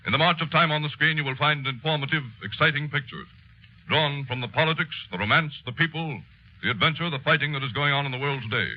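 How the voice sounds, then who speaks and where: A man's voice: a little high, fast talker. A man narrating as a radio announcer in a solemn, emphatic 1930s newsreel style. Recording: muffled 1930s radio broadcast with music.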